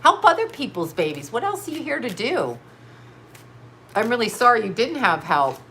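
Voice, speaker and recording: Bright-voiced stuffy-nosed woman. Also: a little high, sing-song, moderate pace, a little hiss or hum. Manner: blunt, swears, laughs often, scattered. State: stuffy nose. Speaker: tarot reader answering live chat. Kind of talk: casual live-stream chat and card reading.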